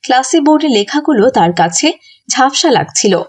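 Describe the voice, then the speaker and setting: A woman's voice: high voice, animated, normal speed, a little mellow, husky. A woman narrating a scripted children's story as the storyteller.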